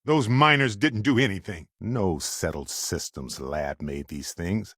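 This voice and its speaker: A man playing an affable, wry, adventurous space explorer companion in a video game, with playful, expressive delivery. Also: low-pitched, unhurried, no echo, studio quality. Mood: earnest, reflective.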